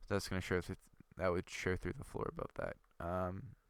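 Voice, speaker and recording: A young man with a low, gravelly voice and a stuffy, congested nose narrates his building game in a loose, rambling way. His words come out smooth and close-up, in a dry room with no echo, broken by fillers and half-finished thoughts. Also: little pitch movement, moderate pace. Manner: rambling, thinking aloud with many fillers. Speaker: gaming video creator. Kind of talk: casual gameplay commentary.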